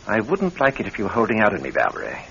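An actor playing a scheming, greedy husband with a suave, mocking manner and a menacing edge, in classic radio drama style. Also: male voice, fairly low pitch, wildly swooping pitch, rapid-fire, creaky, sharp tone, exaggerated voice. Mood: smooth, cold. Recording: muffled old radio broadcast with faint hiss.

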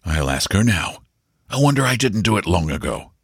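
A man voicing a frantic, busy young male stockbroker who proposes in a hurried rush. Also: low voice, big pitch swings, vocal fry, husky, no echo, clean studio sound, full character voice. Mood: hurried eager.

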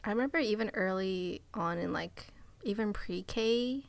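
A woman's voice: medium pitch, a little slow, creaky, no echo. Hesitant young woman, dry sound. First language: Cantonese. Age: young adult. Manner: hesitant, many fillers and trailing-off phrases. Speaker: participant. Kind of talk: casual conversation.

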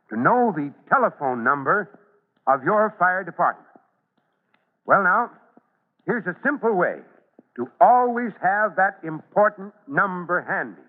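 A man acting a fatherly, commanding fire chief in an old-time radio drama, speaking plainly and with steady authority. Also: high-pitched. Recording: tinny, noisy vintage radio recording.

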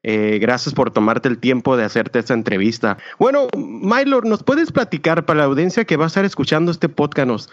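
A man's voice: medium pitch, very animated, fairly quick, hardly any pauses. A man hosting a podcast, introducing his guest and asking questions in an upbeat, formal way. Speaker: podcast host. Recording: dull lo-fi sound.

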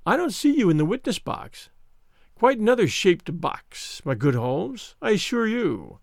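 A man's voice: high voice, big pitch swings, unhurried, creaky, dry recording, studio quality, pitched above the performer's own voice, full character voice. A man voicing a smug, cruel villain who taunts and sneers in a dramatized audiobook reading. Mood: cruel, taunting. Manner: taunting, insistent, mock-concerned.

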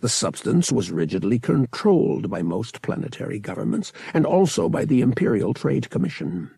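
A man with a husky, raspy voice reading an audiobook as dramatic scripted narration. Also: medium pitch, big pitch swings, moderate pace, mellow, no echo, studio quality.

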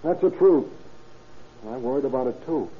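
A man's voice: medium pitch, expressive, normal speed, natural voice. Guy playing young murderer confessing, self-justifying and matter of fact, thin hissy 1930s radio sound. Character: young male murderer calmly rationalizing his confession to police. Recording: tinny, noisy 1930s radio recording.

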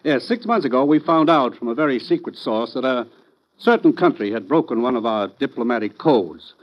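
An American actor playing an authoritative intelligence commissioner, grave and measured, in 1950s radio drama style. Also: male voice, mid-range voice, animated, close to an everyday voice. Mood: grave, urgent. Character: authoritative male intelligence commissioner briefing his agent. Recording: dull vintage radio recording.

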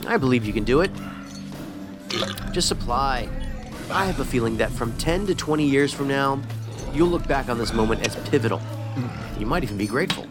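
An actor playing a shrewd, wry federal agent in an audio drama, with a pointed way of talking. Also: male voice, medium pitch, expressive, brisk, long pauses, music in the background, lightly acted. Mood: encouraging, dry.

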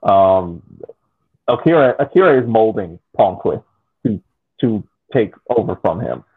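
An American man co-hosting a podcast, chatting casually about a soccer game in a halting way with frequent restarts. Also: lowish voice, expressive, slightly rough. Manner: halting, restarts often, laughs easily. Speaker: podcast co-host. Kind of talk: casual sports chat. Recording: tinny phone-like line.

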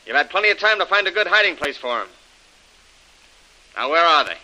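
A menacing villain from a vintage radio serial, sneering out threats like a tough-guy boss. His voice is fairly high and very sharp, over a faint hiss. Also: very animated, brisk, full character voice, rough recording. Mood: cold menace. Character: menacing male gang boss bullying a captive woman. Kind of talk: old-time radio adventure serial acting. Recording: hissy vintage radio recording.

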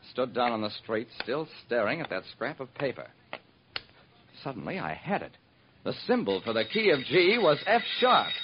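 A man's voice: medium pitch, noisy background, close to an everyday voice. An actor playing a wry, hard-boiled private detective, narrating his case in clipped old-time radio style. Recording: muffled vintage radio recording.

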